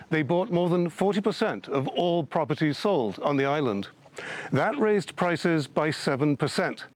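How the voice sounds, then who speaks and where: A man narrating a scripted television news report as a correspondent. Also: on the high side.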